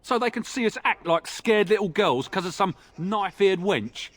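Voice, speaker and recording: An actor playing a gruff, mocking, irritable castle guard in a fantasy video game. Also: male voice, sits high, animated, normal speed. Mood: scornful. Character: gruff, scornful, foul-mouthed castle guard. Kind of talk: video game cutscene acting.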